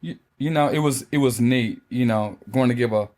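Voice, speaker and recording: A man co-hosting a casual podcast, playful and quick to laugh, who directs choirs. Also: medium pitch, darkish tone. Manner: playful, laughs often, teasing. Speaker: podcast co-host. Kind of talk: casual chat.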